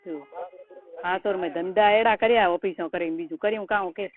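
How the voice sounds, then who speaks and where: A woman's voice: medium pitch, normal speed. A middle-aged woman with a rural Rajasthani Marwari accent, talking colloquially and scoldingly in a private phone conversation. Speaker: caller, relative giving advice. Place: recorded telephone call. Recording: tinny phone line, noisy call recording.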